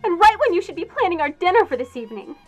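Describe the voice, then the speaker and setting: A woman's voice: very high-pitched, sing-song, racing, soft background music. A woman in an audio drama playing a demanding, nagging girlfriend who speaks in an accusing, imperious way. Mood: demanding, scornful.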